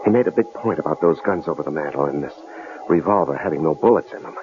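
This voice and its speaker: A man playing a protective, devoted husband in an old-time radio drama, gravelly and reassuring, halting when tender. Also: rumbling low, lively intonation, narrow, tinny audio. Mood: reassuring, determined. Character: protective, resourceful, devoted husband held captive. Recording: hissy, band-limited old radio drama recording.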